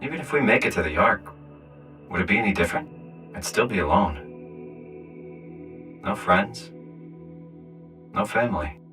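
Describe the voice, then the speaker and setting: A man's voice: fairly low pitch, expressive, moderate pace, long pauses, bright, forceful tone, distant mic, soft background music. An actor with a North American accent playing a weary, distressed man uprooted into a robot body, in a naturalistic, conversational video game style. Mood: despondent. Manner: conversational, swearing, brooding.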